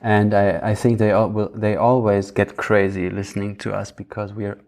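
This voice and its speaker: A man with a low, gravelly voice and a German accent hosts a casual podcast. He speaks haltingly, searching for words in an easy conversational style. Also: level tone, a little mellow. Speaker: podcast host.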